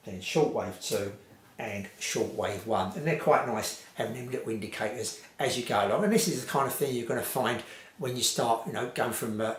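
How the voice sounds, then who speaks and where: A man giving an informal, unscripted hi-fi review in a rambling, repetitive way with lots of hedging. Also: on the low side. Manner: rambling, hedged, repetitive phrasing. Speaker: vintage hi-fi reviewer. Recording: roomy, echoey home recording.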